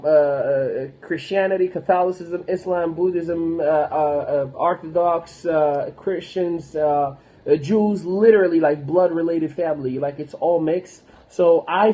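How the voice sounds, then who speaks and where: A man's voice: high-pitched, warm tone, highs cut off. A young man from Morocco, a motivational speaker, talking earnestly on a livestream with many fillers and restarts. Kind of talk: casual livestream talk.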